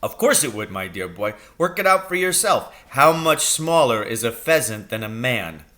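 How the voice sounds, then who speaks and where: A man voicing an excitable, dreamy father in a storybook, speaking in fond exclamations. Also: medium pitch, moderate pace. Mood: eager, confident. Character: excitable, dreamy, affectionate father scheming at poaching.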